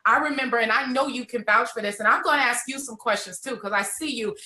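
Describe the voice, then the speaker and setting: A woman around thirty, a network marketing entrepreneur, telling her success story in an animated, motivational way during a live conversation. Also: fairly high pitch, quick pace, reverberant.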